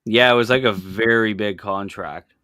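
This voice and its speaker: A man's voice: lowish voice, normal speed, pushed and bright, no echo, clean studio sound. A man in his early twenties from Canada, chatting casually as a podcast guest with wry, offhand swearing. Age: early 20s. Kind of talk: casual chat.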